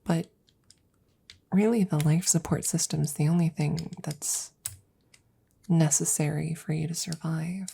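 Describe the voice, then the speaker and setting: A woman playing a worried, devoted, nerdy scientist best friend in an ASMR audio roleplay, speaking softly and breathily up close, often whispering, in a hesitant, rambling, reassuring way. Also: deep voice, steady tone, a little slow, vocal fry, long pauses, slightly rough, full character voice. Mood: tender, earnest. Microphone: close microphone.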